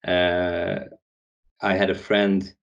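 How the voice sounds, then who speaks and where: Swedish-raised young guy, podcast guest, lowish voice, stop-start phrasing. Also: flat delivery, very slow, highs cut off. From Sweden. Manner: hesitant, many restarts and fillers. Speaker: guest, founder. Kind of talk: podcast interview.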